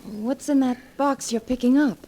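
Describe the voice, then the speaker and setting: An actress playing a polite, curious and determined young niece in a 1940s radio drama. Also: female voice, sits high, moderate pace, warm tone, natural voice. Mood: curious, puzzled. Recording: noisy old 1940s radio recording.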